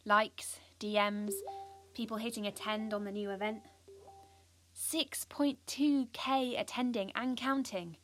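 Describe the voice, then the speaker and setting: A woman's voice: on the high side, fairly bright tone. A British woman playing an earnest, driven teenage schoolgirl activist, confiding in the listener in an audio drama monologue. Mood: confiding, wry.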